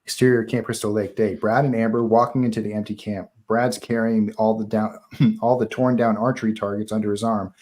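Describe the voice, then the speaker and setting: Low-voiced man narrating a horror script. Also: level tone, fairly quick, darkish tone. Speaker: narrator reading stage directions. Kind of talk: scripted narration at live table read.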